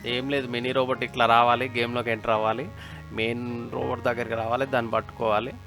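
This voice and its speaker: A man's voice: mid-range voice, little pitch movement, quick pace. A man with a Telangana Telugu accent giving casual, informal game update commentary, repeating himself and advising listeners directly. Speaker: gaming video creator. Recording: music behind the voice. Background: music.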